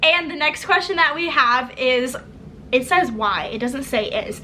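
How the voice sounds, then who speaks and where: A woman's voice: high-pitched, unhurried, bright, forceful tone, slight background noise. A young woman from the United States hosting a casual chat about studying abroad, talking with lots of "like". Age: young adult. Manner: chatty, filler-heavy, frequent "like". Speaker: host and exchange student.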